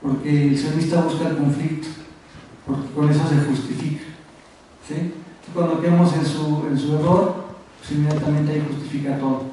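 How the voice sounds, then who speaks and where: Male activist panelist, Mexico City accent, high mellow voice, halting delivery, recorded far off in an echoey auditorium. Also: flat delivery. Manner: halting, with repeated words and trailing phrases. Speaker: activist speaker on a conference panel. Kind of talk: informal conference presentation. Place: university auditorium. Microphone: distant from the speaker. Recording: echoey room, distant mic, faint noise.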